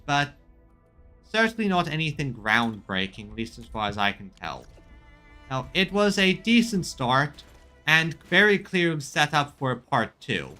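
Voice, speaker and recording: A man streaming a game, chatting casually in a halting way, often repeating and rephrasing himself mid-sentence. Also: fairly high pitch, sharp tone. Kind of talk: casual chat commentary on a game. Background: music in the background.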